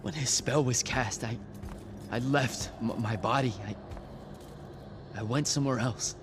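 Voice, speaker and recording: A man's voice: mid-range voice, unhurried, background noise, lightly acted. A man playing a brash, irreverent young battlemage recruit in a video game, with a casual, informal way of talking. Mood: shaken. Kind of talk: video game cutscene acting.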